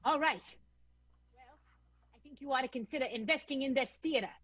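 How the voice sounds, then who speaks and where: A woman's voice: sits high, a little fast, fairly bright tone, husky, muffled recording. A woman playing a bossy, exasperated theater manager laying down the law in an improvised comedic radio play, stern and insistent.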